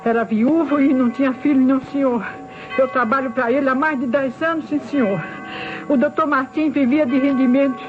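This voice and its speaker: A woman's voice: high voice, steady tone, a little fast, natural voice. A woman playing a nervous, deferential housemaid in an old radio mystery, stammering and repeating herself with a Brazilian accent. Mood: flustered, deferential. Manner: stammering, repetitive, servile. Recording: muffled old radio recording, music.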